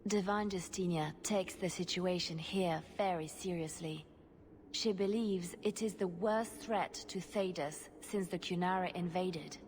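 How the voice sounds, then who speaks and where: A woman playing a composed, grave secret agent in a fantasy video game, speaking in a measured, deliberate way. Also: on the low side, a little mellow, slight background noise, lightly acted.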